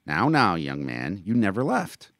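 A man's voice: deep voice, wildly swooping pitch, measured pace, no room sound, clean studio sound, heavily acted. A man voicing a tender, motherly widow who soothes a child in a raised, coaxing voice. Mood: soothing. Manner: soft, coaxing, lifted voice.

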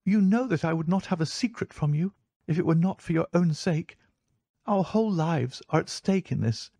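A man's voice: on the high side, sing-song, moderate pace, dark tone, breathy edge, no echo, voice pushed up. A man voicing a frightened, secretive wife in an audiobook, pleading in an urgent, emotional manner. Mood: desperate pleading. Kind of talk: audiobook character dialogue.

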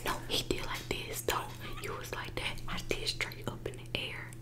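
A young American woman whispering in casual ASMR chat, playful and competitive, close to a desktop microphone. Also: normal speed. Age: young adult. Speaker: mukbang video host. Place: small indoor room at a table.

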